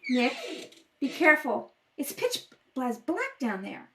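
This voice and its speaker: A woman's voice: high voice, very animated, measured pace, a bit bright, a little hiss or hum, full character voice. A woman playing an anxious, inquisitive and affectionate wife in an amateur radio mystery read-through. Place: at a kitchen table at home.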